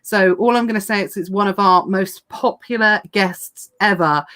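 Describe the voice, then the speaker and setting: A woman hosting a casual livestream chat, talking fast with lots of fillers and restarts, her voice going hoarse. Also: mid-range voice, fairly bright tone. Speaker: podcast host.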